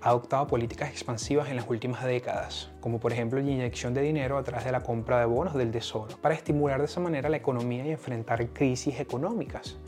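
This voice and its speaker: A man's voice: medium pitch, quick pace, few pauses, darkish tone, faint music behind. A man with a Venezuelan accent hosting an explanatory podcast in a partly scripted monologue. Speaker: podcast host.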